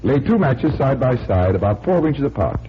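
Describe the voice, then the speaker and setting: An actor playing a confident magician detective, explaining patiently in 1940s radio style. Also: male voice, medium pitch, big pitch swings, moderate pace, lightly acted. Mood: assured, instructive. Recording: muffled 1940s radio recording, faint hiss.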